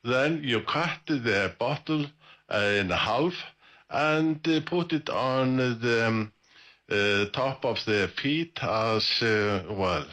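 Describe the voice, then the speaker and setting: An Icelandic-accented man in middle age talks casually on a live ham radio stream, pausing often with fillers and restarting his sentences. His voice has a clear, bright edge and sits at an ordinary middle pitch for a man. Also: expressive. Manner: rambling, hesitant with many fillers and self-corrections. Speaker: ham radio net presenter.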